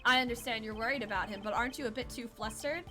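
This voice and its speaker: A woman voicing a composed male prosecutor in a video game, speaking in a formal, measured, probing way. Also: on the high side, over music, close to an everyday voice. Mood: polite, probing.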